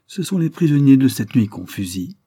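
Storybook villain acted by a man: menacing revolutionary official, ironic and contemptuous, declamatory delivery, raised pitch, dark timbre. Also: sing-song, a little fast, husky, dry recording, studio quality. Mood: cold mockery.

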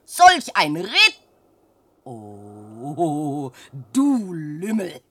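A long-suffering old peasant mother, nags and wails in theatrical radio-drama verse. Her delivery is slow and drawn out, low for a woman, and swings widely in pitch. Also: wildly swooping pitch, pushed and bright, full character voice. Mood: indignant. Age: older. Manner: declamatory, nagging and wailing, dramatic swings.

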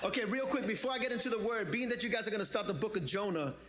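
A man preaching in a loose, joking, conversational way, his voice sitting a bit high for a man. He teases the congregation and then settles into prayer without losing his easy manner. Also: rapid-fire. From the Bronx, New York. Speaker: pastor. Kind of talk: sermon.

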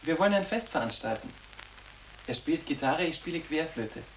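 A man's voice: a little high, expressive, normal speed, over loud music, close to an everyday voice. A young man playing a matter-of-fact, independent teenage schoolboy in a radio drama, speaking in plain short phrases. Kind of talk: literary radio drama acting. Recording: roomy, distant microphone, background noise.

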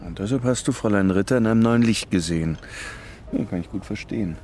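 An actor playing a probing, quietly amused confidant in a radio drama, measured and teasing. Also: male voice, fairly low pitch, lightly acted. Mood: teasing, knowing. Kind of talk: naturalistic radio drama dialogue.

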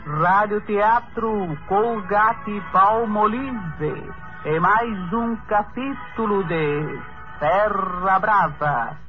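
A man's voice: high voice, drawn-out words. A Brazilian man announcing an old-time radio drama. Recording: dull vintage radio archive recording. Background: loud music.

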